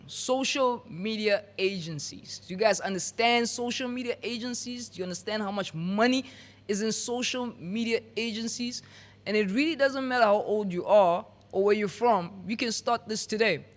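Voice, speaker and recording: A man's voice: high-pitched, moderate pace. A man hosting a podcast, playful and hyped, often repeating his words. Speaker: podcast host.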